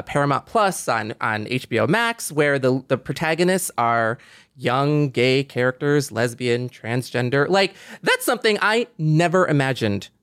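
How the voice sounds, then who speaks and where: A man in his forties talking earnestly and optimistically in a reflective podcast conversation, often halting to rephrase. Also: a little high, fairly bright tone, clear, resonant tone, dry recording, clean studio sound. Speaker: podcast panelist.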